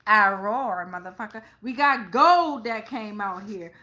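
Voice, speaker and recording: A woman's voice: mid-range voice, animated, measured pace, sharp tone. A middle-aged woman with an American accent doing a casual live tarot reading in a sassy, emphatic way, swearing freely. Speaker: tarot reader hosting a live stream.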